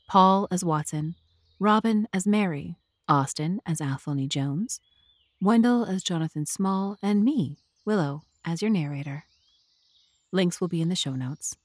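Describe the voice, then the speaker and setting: A woman's voice: on the low side, no room sound, clean studio sound. A woman narrating and hosting a story podcast in a scripted style. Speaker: narrator and host.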